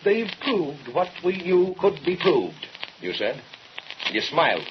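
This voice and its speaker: An actor playing a somber, reproachful accuser in an old radio horror drama, with solemn, poetic delivery. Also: male voice, fairly high pitch, very animated, a little slow. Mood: solemn, hushed. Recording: muffled, very noisy old radio recording.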